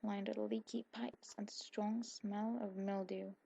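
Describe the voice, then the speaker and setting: A woman with a husky voice reading a scripted story aloud in an ASMR style. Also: mid-range voice, little pitch movement, slow, heavy background noise. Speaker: fan fiction audiobook narrator.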